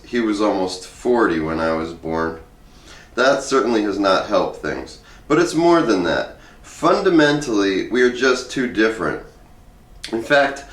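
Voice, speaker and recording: A man's voice: on the low side, a bit bright. A man talking casually and reading a humorous essay aloud in an informal, conversational way. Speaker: host reading aloud from a book. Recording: roomy echo, distant from the mic.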